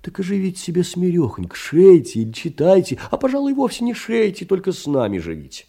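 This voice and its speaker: An actor playing a humble, doting clerk who pleads and fusses tenderly in a theatrical audio drama. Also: male voice, high-pitched, huge pitch swings, a little fast, creaky, warm tone, husky, exaggerated voice. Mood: agitated, pleading. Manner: fussy, pleading, tender endearments and repetitions.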